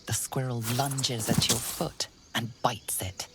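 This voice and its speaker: A woman narrating a dark fantasy video game in a solemn, measured and ominous manner. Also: very deep voice, fairly even intonation, very noisy, full character voice.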